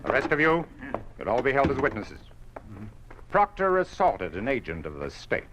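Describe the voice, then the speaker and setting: An actor playing a cold, rigid communist state official, stern and commanding, in an old time radio drama. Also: male voice, medium pitch, wildly swooping pitch, moderate pace. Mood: officious threat. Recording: old radio recording, muffled and noisy.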